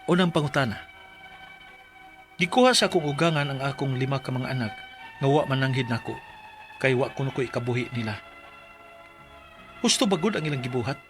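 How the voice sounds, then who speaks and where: A man's voice: mid-range voice, expressive, brisk, long pauses, fairly bright tone, soft background music, close to an everyday voice. An actor with a Cebuano Filipino accent playing a distraught rural father who pleads for his children in a radio drama. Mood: aggrieved, sorrowful. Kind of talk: Bisaya radio drama acting and letter narration.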